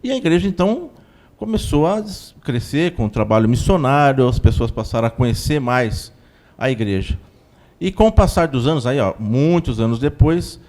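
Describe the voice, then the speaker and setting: A man with a Brazilian accent, a church spokesman giving long, steady explanatory answers in a TV interview. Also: medium pitch. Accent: Brazilian Portuguese.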